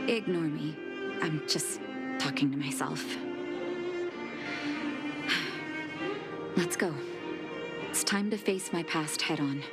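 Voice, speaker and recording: An actress voicing a composed, determined woman who is a doctor and leader, speaking in a measured, thoughtful way with soft sighs, with game music behind her. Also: low voice, heavily acted. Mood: resolute. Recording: studio voice-over with game music.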